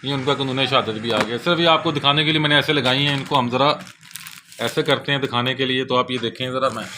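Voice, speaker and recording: A man's voice: medium pitch, steady tone, fast talker, noisy background. A man with a Pakistani accent gives a casual, unscripted product overview as a seller, often closing his sentences with a polite tag. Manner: repeats a polite verbal tag at sentence ends. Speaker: bookseller presenting products.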